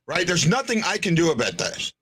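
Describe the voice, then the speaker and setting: A man hosts a sports podcast in a husky voice that rides up and down, bright and forward in tone. He often stumbles and restarts his sentences, then tags them with a questioning "right?". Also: on the high side, huge pitch swings, a little fast. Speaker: podcast host. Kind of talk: casual chat.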